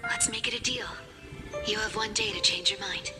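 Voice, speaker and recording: A woman's voice: on the high side, moderate pace, close to an everyday voice. An actress playing an alluring, composed woman who offers ominous bargains in a coaxing, dramatic game style. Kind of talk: dramatic video game acting. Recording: clear music behind voice.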